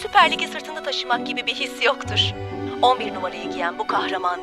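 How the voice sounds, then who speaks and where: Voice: medium pitch, expressive, brisk, over loud music, close to an everyday voice. A performer playing a grand documentary narrator in a cartoon, praising football legends in scripted declarative lines. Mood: solemn, praising.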